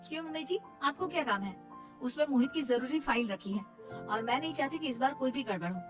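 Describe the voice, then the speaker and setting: A woman's voice: high voice, animated, fairly quick, over music. A woman playing a wronged young wife, earnest and protesting, in a cartoon moral story. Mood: wary.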